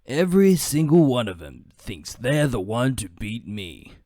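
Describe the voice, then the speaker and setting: A man's voice: medium pitch, very animated, a little slow, warm tone, no echo. A man doing amateur voice acting of game character lines, theatrical and changing from one character voice to another.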